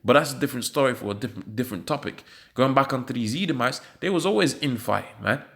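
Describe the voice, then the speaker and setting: A British man, sniffly from hay fever, commenting on a news article with a religious slant, halting with many fillers and emphatic rhetorical questions. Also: mid-range voice, expressive, creaky, a bit bright.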